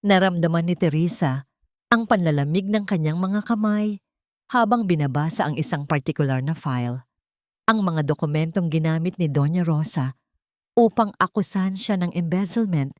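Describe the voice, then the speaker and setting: A woman's voice: deep voice, a little mellow, no echo, studio quality. A woman reading a scripted, dramatic audio story as its narrator.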